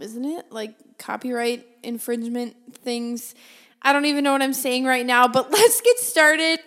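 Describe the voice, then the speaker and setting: A woman hosting her own podcast alone in a small office, talking casually and upbeat into a desk microphone, with a loud voice that carries. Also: high-pitched. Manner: chatty, upbeat, laughs often, self-deprecating. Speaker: podcast host. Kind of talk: casual solo podcast chat. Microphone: desktop microphone.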